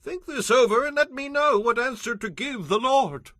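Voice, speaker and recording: Guy doing an earnest advisor to a bible king, super high pitched, really sharp bright voice, audio drama. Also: moderate pace, no room sound, studio quality. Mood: solemn, urgent. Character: earnest male counsellors to an ancient king. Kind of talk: dramatized scripture reading.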